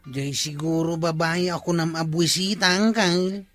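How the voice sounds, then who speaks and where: A man's voice: on the high side, fairly even intonation, measured pace, fairly bright tone, dry recording, clean studio sound, soft background music. A man playing a grumbling, blaming sidekick of a village official in an Ilocano comedy audio drama.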